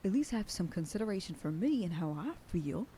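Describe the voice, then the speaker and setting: A woman playing a protective werewolf sheriff girlfriend in an ASMR roleplay, scolding the listener and dropping into soft whispers. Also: fairly low pitch, sing-song, fairly quick, a little hiss or hum. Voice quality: soft whisper in quieter passages. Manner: scolding, then softening into tender whispers. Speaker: voice actor in an audio roleplay.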